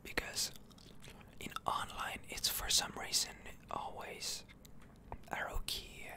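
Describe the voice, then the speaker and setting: Slow, whispering ASMR gamer. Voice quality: breathy unvoiced whisper. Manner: soothing, drawn-out delivery with repeated words. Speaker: video game streamer and channel host. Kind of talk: whispered ASMR game commentary.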